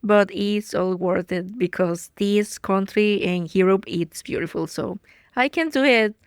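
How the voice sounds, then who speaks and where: A woman's voice: mid-range voice, a little slow, smooth, no room sound, studio quality. Cheerful woman from Costa Rica. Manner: cheerful, laughs easily. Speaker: guest, educator. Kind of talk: casual interview chat.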